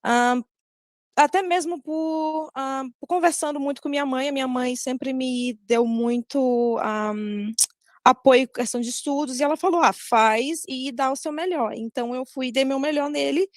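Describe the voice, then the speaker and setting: Higher-pitched Brazilian woman, hesitant and dry-sounding. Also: normal speed, dry recording. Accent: Brazilian Portuguese. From Brazil, Maranhão. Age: young adult. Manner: hesitant, many fillers and self-corrections. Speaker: guest speaker giving advice to students. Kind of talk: casual talk on a video call.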